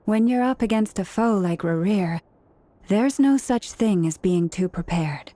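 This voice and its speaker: Woman playing a mellow, calm ally. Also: mid-range voice, a little slow, dry recording, natural voice. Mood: supportive. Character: calm, perceptive, supportive female ally. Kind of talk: anime game voice acting.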